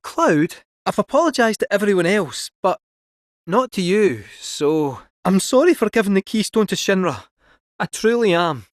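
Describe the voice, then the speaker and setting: A chatty male robot cat fortune teller from a video game, with a lilting Scottish accent. The voice sits high and leaps about playfully in pitch. Recorded dry and close, with no room sound. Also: very animated, moderate pace, no echo. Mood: contrite.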